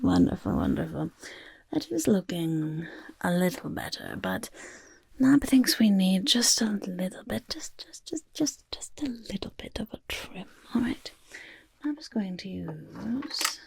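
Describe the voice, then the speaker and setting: Woman as a croaky whispering goblin overlord. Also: medium pitch, very animated, takes it slowly, dry recording, full character voice. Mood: fussy, pleased. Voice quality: breathy, croaky, raspy whisper. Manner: refers to self in third person, repeats words, fussing. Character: bossy, eccentric, self-important goblin overlord, cheerfully chaotic. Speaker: ASMR roleplay performer. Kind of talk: soft-spoken ASMR personal attention roleplay. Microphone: close, dry ASMR microphone.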